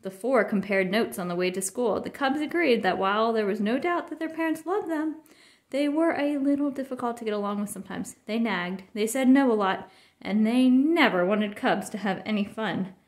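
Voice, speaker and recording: A woman reading a children's picture book aloud in an expressive narrating style. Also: on the high side, normal speed. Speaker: storybook reader.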